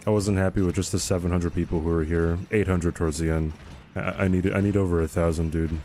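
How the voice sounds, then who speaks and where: A man streaming a game live, chatting casually with viewers in a joking, rambling way, with a calm radio-type voice. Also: sits low, quick pace, slight background noise. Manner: joking, rambling, many fillers.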